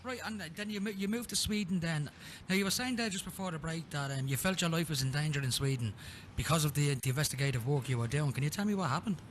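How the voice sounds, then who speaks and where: A man hosting a radio interview show, talking briskly with fillers and restarts. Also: on the high side, a little hiss or hum.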